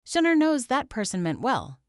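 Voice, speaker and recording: A woman voicing a gentle, clever teenage girl in a fantasy audiobook, calm and quietly teasing. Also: sits high, moderate pace, dry recording, studio quality, pitched above the performer's own voice. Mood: quiet reproach. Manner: soft, calm, composed. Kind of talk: audiobook fantasy character dialogue.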